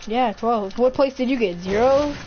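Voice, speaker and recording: A man's voice: extremely high pitch, moderate pace, darkish tone, muffled recording, slight background noise. A teenage boy streaming a shooter game, teasing and trash-talking his friend in casual banter.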